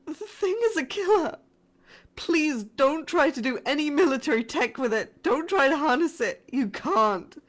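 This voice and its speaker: A very high-pitched young-sounding female spaceship crew member, acted by a woman, caught in a sci-fi horror audio drama. She speaks slowly and haltingly, with raw dramatic emotion. Her fear comes with pleading and a streak of defiance. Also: lively intonation, a bit bright. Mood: grave, urgent.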